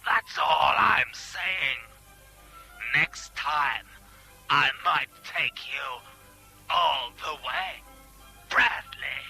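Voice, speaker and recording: An actor playing a menacing anonymous caller in a radio drama, taunting slowly and deliberately through a telephone filter. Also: male voice, a little high, very animated, gravelly, pushed and bright, soft background music, heavily acted, rough recording. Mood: quiet menace. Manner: slow, deliberate, sinister.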